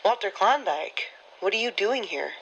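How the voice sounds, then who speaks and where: A woman's voice: medium pitch, expressive, normal speed, a bit bright, slight background noise, rough recording. A woman playing a menacing, taunting female wartime double-crosser in a radio drama.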